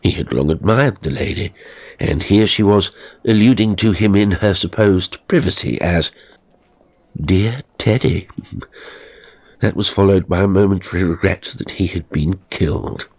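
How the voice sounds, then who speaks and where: A man narrating a ghost story from a script in a measured, theatrical, genteel manner. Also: deep voice, a little mellow.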